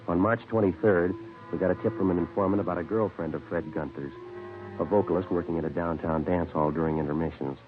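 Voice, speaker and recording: A man playing a terse, businesslike police detective with an American accent, deadpan and matter-of-fact in a vintage radio procedural. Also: low voice, natural voice. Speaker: lead actor and narrator. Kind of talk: clipped 1950s radio police-procedural narration and dialogue. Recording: muffled vintage radio, faint music.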